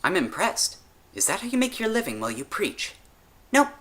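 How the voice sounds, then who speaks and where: Man doing a high, casual teenage girl. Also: sing-song, moderate pace, pushed and bright. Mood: casual, friendly. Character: sixteen-year-old girl, casual, cheerful, unpretentious grease monkey.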